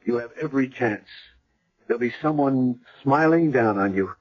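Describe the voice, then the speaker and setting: An actor playing a solemn, kindly chaplain in a radio drama, speaking in a gentle, measured way. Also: male voice, mid-range voice, expressive, husky. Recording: dull old radio broadcast with music.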